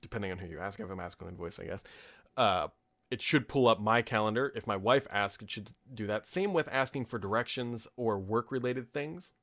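A man's voice: on the low side, a bit bright. A man in his thirties hosting a tech podcast from his home office, chatting casually and with strong opinions, full of ums and asides. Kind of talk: casual solo podcast chat.

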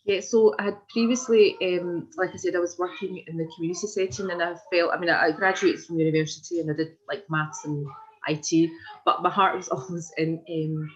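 A woman's voice: lowish voice, steady tone, a little fast, a little hiss or hum. A woman in her forties with a Glaswegian Scottish accent answers informally in an interview over a video call, in a hesitant, meandering way with frequent repetitions. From Glasgow, Scotland. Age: 40s. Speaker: interviewee, community development worker. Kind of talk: informal oral history interview. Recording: muffled lo-fi video call audio.

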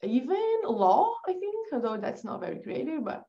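A woman's voice: sits high, big pitch swings, normal speed, warm tone. Chatty Brazilian woman, muffled sound. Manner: chatty, laughs often, restarts. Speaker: guest, agency founder. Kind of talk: casual podcast interview.

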